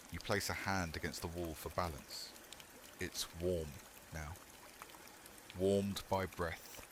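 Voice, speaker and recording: Male horror ASMR narrator, low register, unhurried and hushed, short phrases with long pauses, over clearly audible static. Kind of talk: scripted second-person horror narration, ASMR.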